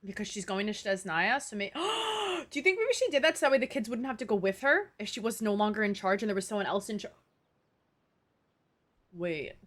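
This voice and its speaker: A young adult woman giving casual live game commentary in a slangy, expressive, giggly way. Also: medium pitch, brisk. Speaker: game streamer.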